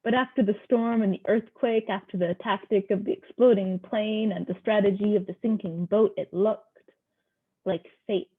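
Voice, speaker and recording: A woman's voice: mid-range voice, fairly even intonation, moderate pace, slightly rough. A woman with a middle-register voice reads at an online poetry gathering. Her delivery is a recitation, and the audio is thin and narrow, like a phone call. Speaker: poetry reader at an online open mic.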